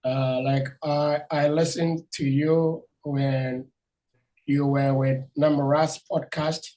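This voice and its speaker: Hoarse man hosting a devotional talk. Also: on the high side, flat delivery, takes it slowly, mellow, rough recording. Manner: polite, hesitant with many fillers. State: hoarse, losing his voice. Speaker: host. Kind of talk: formal welcome and introduction.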